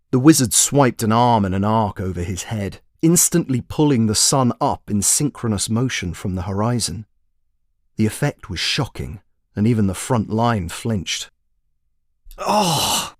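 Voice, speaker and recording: Male narrator for fantasy fiction, expressive and deep-leaning, very dry sound. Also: no echo. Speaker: author reading own short story. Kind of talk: scripted storytelling narration.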